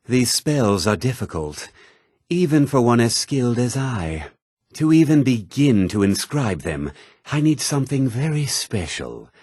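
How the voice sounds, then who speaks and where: A husky, lowish-voiced male mage from a fantasy game. He speaks formally and deliberately, with a lively rise and fall, like a scholar of magic. The recording is dry and close. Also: no echo, heavily acted. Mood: grave, measured. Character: learned, measured male mage of a magic college. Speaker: video game character. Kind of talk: scripted fantasy game dialogue.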